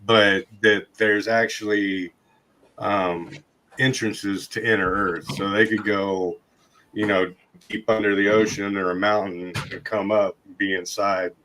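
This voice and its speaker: A man hosting a podcast in casual chat, his voice hoarse and scratchy from a long night of talking. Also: fairly low pitch, flat delivery, a little slow, pushed and bright. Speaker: podcast host.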